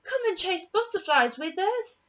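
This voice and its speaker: A woman's voice: very high voice, very animated, unhurried, fairly bright tone, voice pushed up, full character voice. A woman voicing a playful, friendly little girl in a children's picture book, inviting and sing-song.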